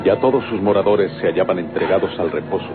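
A man with a Mexican accent giving solemn, deliberately phrased narration for a dramatic radio serial. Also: deep voice, natural voice. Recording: tinny old radio recording, music behind.

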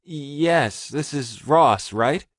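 A man's voice: a little high, animated, drawn-out words, no room sound, clean studio sound, pitched above the performer's own voice, exaggerated voice. Man doing a frantic stammering city guy.

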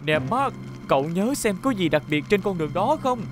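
A man's voice: sits high, lively intonation, moderate pace, music in the background. A man voicing a young, confident rescue car leading a team in a cartoon dub, decisive and encouraging.

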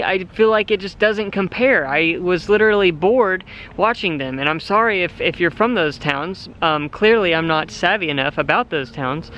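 A man in his thirties talks into a camera held at arm's length, rambling and halting over his words. His voice is bright and sits a bit high. A faint hiss runs behind him. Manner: rambling, candid, halting with fillers. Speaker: vlogger and delivery driver. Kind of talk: casual self-filmed vlog talk. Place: inside a parked car at night, then outdoors in a park. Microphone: phone or camera held at arm's length.

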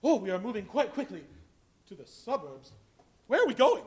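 Actor as a fretful old miser, high and swooping, pleading and theatrical on a live stage. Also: male voice, very animated, normal speed. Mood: curious. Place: college hall stage before an audience.

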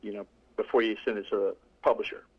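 A man's voice: on the low side, fairly even intonation, fast, husky, highs cut off. A middle-aged male author talking casually in an interview, rambling with many restarts and fillers. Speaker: author guest.

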